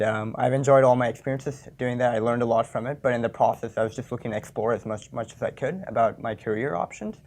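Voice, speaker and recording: A man's voice: medium pitch, a little mellow. Easygoing young man from Texas. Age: young adult. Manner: easygoing, with ums and uhs. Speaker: business honors student.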